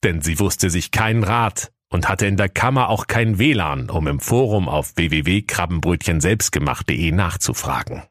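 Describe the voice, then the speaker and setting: A man's voice: deep voice, expressive, moderate pace, hardly any pauses, a bit bright, no room sound, clean studio sound. A man narrating a comic fairy tale as storyteller in a mock-solemn manner.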